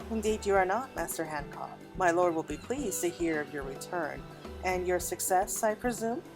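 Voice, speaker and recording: A woman voicing a courteous lady retainer from a fantasy game, speaking in measured, archaic, formal phrases. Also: lowish voice, lively intonation. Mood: formal, composed. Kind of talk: reading game cutscene dialogue aloud. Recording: game music behind the voice.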